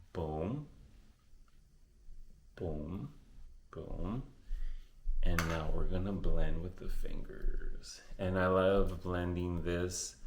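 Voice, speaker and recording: Male beauty vlogger, very low voice, unhurried casual chat, echoey room. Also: creaky. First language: Spanish. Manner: chatty, rambling, self-correcting. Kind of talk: casual makeup tutorial chat.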